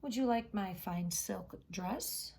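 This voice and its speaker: A woman's voice: medium pitch, takes it slowly, vocal fry, darkish tone, breathy edge, exaggerated voice. A woman voicing a spoiled young princess in a storybook, lofty and petulant. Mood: haughty.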